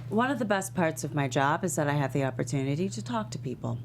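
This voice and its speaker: A proud, principled talk show host, wounded but holding firm, played by a woman in a drama read. The voice is low and creaky with lively movement in pitch, over clear background noise. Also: fast talker. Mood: composed, firm. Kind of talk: script table read.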